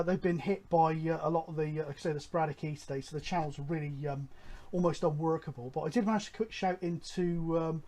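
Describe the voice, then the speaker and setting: A man presenting a hobby show, talking casually and in a halting, rambling, informal way to viewers. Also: fairly high pitch, dark tone. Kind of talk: casual update and announcements to viewers.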